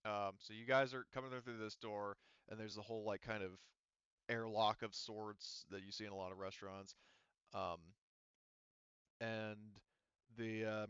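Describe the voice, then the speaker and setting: A man's voice: fairly low pitch, fairly bright tone, clean tone, no room sound, highs cut off. A man casually narrating a tabletop roleplaying game as game master, rambling and thinking aloud as he improvises.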